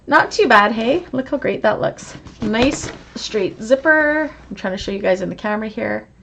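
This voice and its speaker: A woman's voice: a little high, lively intonation, normal speed, creaky, a bit bright, a little hiss or hum. A woman teaching a live online sewing class, chatty and encouraging as she answers viewer comments. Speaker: sewing instructor.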